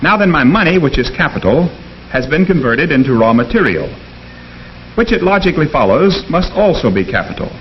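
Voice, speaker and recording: An actor playing an earnest American professor in a 1950s educational film, speaking in a formal, deliberate, didactic way. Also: male voice, a little high, very animated. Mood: earnest, instructive. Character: earnest male economics professor lecturing his class. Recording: dull, noisy 1950s film soundtrack.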